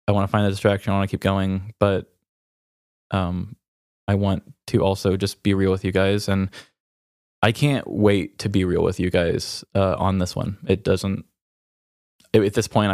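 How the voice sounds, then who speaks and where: Male podcast host, lower register, dry sound; informal, hesitant, openly emotional with grief. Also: level tone, normal speed, darkish tone, dry recording. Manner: hesitant, self-revealing, informal. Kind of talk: candid, unscripted casual chat.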